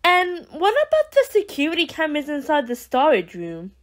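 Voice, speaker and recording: A woman playing a confident, brisk special agent in an audio drama, casual and assured. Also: high-pitched, huge pitch swings, pitched above the performer's own voice. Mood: cool, brisk.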